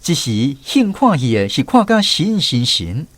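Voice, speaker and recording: A man's voice: medium pitch, very animated, measured pace, heavy background noise. A man with a Taiwanese accent giving scripted storytelling narration for a radio drama.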